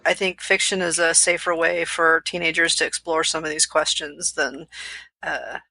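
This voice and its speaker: A woman's voice: sits low, level tone, normal speed, creaky, pushed and bright, no room sound. A woman co-hosting a casual book discussion podcast, explaining her views in a reflective way. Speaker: podcast co-host.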